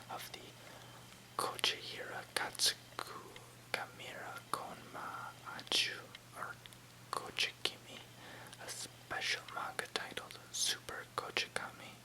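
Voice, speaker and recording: A person reading an article aloud in a breathy, unvoiced ASMR whisper, careful and occasionally stumbling over words. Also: rough recording.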